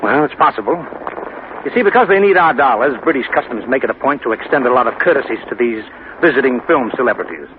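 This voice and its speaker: An actor playing an earnest, businesslike federal narcotics agent briefing someone in a 1950s radio drama. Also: male voice, mid-range voice, expressive, quick pace, close to an everyday voice. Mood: earnest, explaining. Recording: noisy, tinny 1950 radio recording.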